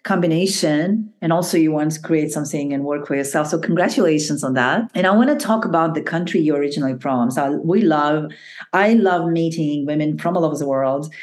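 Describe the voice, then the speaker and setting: A woman's voice: sits low, brisk. A woman hosting an interview podcast, affirming her guest and asking long questions. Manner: warmly affirming, repeats praise. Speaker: podcast host, network founder.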